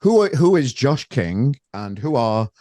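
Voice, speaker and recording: A man's voice: medium pitch, big pitch swings, a little slow, darkish tone, dry recording, studio quality. A man in his forties interviewing a guest in a blunt, laid-back casual chat. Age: 40s.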